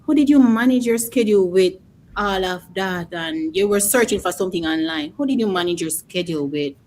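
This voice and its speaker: A woman with a lowish voice hosts an interview over a video call. She asks her questions and keeps affirming her guest, with a faint rumble behind her. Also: expressive, fairly quick, darkish tone. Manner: encouraging, affirming with "mm-hmm". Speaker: interview host.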